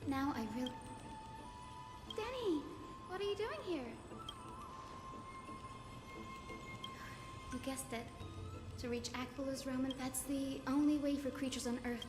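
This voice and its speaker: An actress playing a gentle, earnest young woman in a video game, with a polite and sweet manner. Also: high voice, normal speed, pauses often, close to an everyday voice. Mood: bright, gentle. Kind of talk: dramatic video game voice acting. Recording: echoey reverb with music behind.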